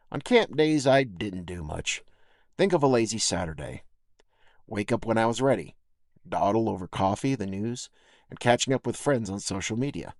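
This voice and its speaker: A man's voice: fairly low pitch, no echo, clean studio sound. A man reading his own scripted personal essay as a podcast host, in a reflective, folksy storytelling way.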